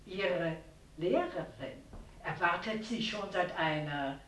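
An actress playing an icy, ironic widow in a radio drama, pointed and disdainful, with deliberate pauses. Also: female voice, fairly low pitch, fairly bright tone. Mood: icy irony. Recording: echoey, distant, faint background noise.

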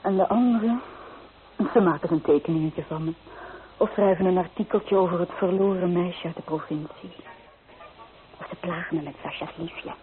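A woman's voice: lowish voice, normal speed, natural voice. Actress doing homesick bitter young woman from the provinces, wistful n earnest, old radio drama, sounds like down a phone line. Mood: hurt, indignant. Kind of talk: Dutch radio play acting.

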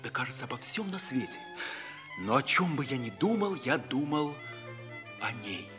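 An actor playing an ardent, impulsive schoolboy in a mid-century radio play, speaking earnestly with theatrical emphasis. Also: male voice, mid-range voice, very animated, moderate pace, close to an everyday voice. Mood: hushed, smitten. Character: ardent, impulsive schoolboy dreaming of polar flying. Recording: 1950s radio play recording, faint music.

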